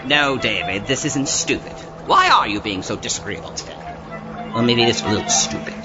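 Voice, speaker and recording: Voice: mid-range voice, lively intonation, brisk, natural voice. An actor playing a theatrical, pompous mystic doctor who senses occult anomalies in a comedic audio drama. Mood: pompous explaining. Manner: theatrical, dramatic, swooping delivery. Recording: muffled lo-fi audio drama recording. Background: loud music.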